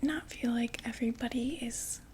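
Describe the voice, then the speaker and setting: Young woman as a vampire makeup saleswoman for an ASMR roleplay: steady, unhurried whisper, slightly high, faint background hiss. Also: close to an everyday voice. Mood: soft, soothing. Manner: slow, gentle, chatty sales talk. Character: female vampire door-to-door makeup saleswoman, calm.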